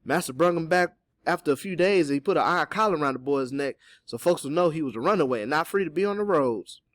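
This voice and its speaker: Man voicing a high-pitched, protective Southern mother. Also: animated, fast, no echo, close to an everyday voice. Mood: grim. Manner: emphatic Southern dialect, strained. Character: enslaved mother, wary, protective, stubborn and anguished.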